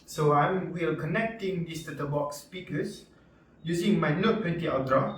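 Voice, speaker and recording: A man with a Malaysian accent giving a casual, unscripted product review in an informal, halting and repetitive way with slangy interjections. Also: high-pitched, fairly bright tone. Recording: echoey room, distant mic, background music.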